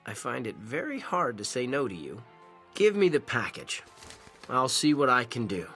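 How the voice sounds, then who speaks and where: A man's voice: medium pitch, very animated, normal speed, fairly bright tone, faint music behind. An actor playing an easygoing, whimsical, joking man with a breezy, playful delivery. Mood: kindly, weary. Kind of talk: acted audio drama dialogue.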